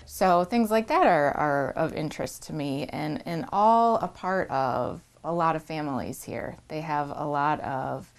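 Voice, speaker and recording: A woman's voice: sits low, measured pace, gravelly, hardly any pauses. A woman who directs a tourism bureau answers interview questions in a thoughtful, articulate way.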